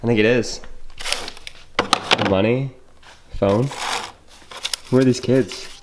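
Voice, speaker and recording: A man's voice: medium pitch, steady tone, takes it slowly, close to an everyday voice. A young man playing a jittery, reluctant student cameraman in a found-footage horror film, with noisy amateur sound. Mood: uneasy.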